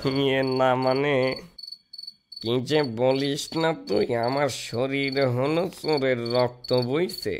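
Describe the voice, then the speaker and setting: Creaky, slow-talking comic thief. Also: male voice, medium pitch, noisy background. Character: wily village thief, comic, boastful. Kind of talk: comic Bengali cartoon dubbing. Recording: music behind the voice.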